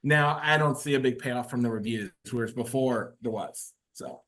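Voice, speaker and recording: A man's voice: medium pitch, normal speed, fairly bright tone. A man who is a guest author, talking casually on a video call, rambling with many fillers and restarts. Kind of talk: casual webinar talk.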